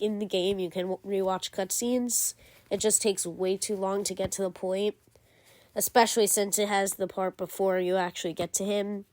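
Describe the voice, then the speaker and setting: A woman's voice: mid-range voice, a little mellow, dry recording. A woman giving casual commentary as a gaming video creator, rambling with lots of fillers and self-corrections. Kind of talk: casual game commentary ranking.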